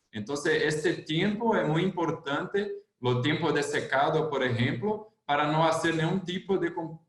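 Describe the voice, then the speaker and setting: A man's voice: fairly high pitch, roomy sound. A man from Brazil speaking Spanish with a Brazilian Portuguese accent, explaining livestock topics in a webinar presentation. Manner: plain explanatory delivery with frequent "entonces".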